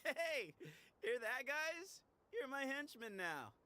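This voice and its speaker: Sly, animated gang leader. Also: male voice, very high voice, full character voice. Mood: mocking. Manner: glib, mocking, drawn-out and casual. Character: sly, teasing male leader of armed band. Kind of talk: video game voice acting. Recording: faint background noise.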